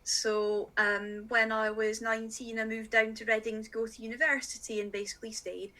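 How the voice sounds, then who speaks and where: Bright, earnest middle-aged woman. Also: on the high side, flat delivery, normal speed. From Scotland, raised in northeast England. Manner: earnest, emphatic, plain-spoken. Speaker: magazine editor, podcast guest. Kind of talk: earnest interview talk.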